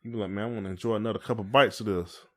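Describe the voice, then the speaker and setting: A man's voice: on the low side, fairly even intonation, very fast. A man with an American accent co-hosting a podcast, asking questions in casual, colloquial speech.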